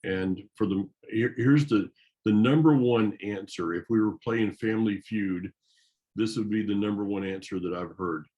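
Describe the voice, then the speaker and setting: A man's voice: on the low side, normal speed, a little mellow. A man in a casual discussion as interviewer, heard through dull, lo-fi audio.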